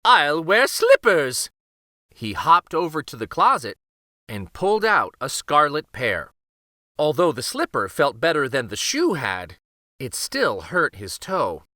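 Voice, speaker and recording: A man's voice: mid-range voice, wildly swooping pitch, unhurried, sharp tone, no room sound, clean studio sound. A man hosting a children's read-aloud, narrating a picture book expressively.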